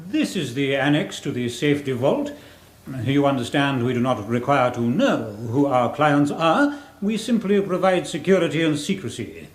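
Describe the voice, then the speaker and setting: An actor playing a formal, guarded safe-deposit manager in a radio crime drama, precise and officious. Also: male voice, medium pitch, expressive, moderate pace, lightly acted. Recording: echoey room with background noise.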